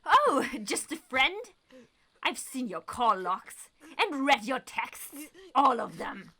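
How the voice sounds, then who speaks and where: A woman playing a possessive, jealous lover in a horror radio drama, with a condescending, deliberate, theatrical delivery. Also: sits high, very animated, bright, forceful tone, full character voice. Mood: jealous, accusing.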